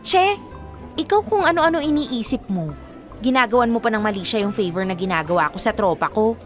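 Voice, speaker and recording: A woman acting as a young Filipino college girl, loyal and quick to defend herself, in a high voice. It is conversational radio drama with clear background music. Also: animated, close to an everyday voice. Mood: teasing, indignant. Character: loyal, defensive female college student selling food for friend.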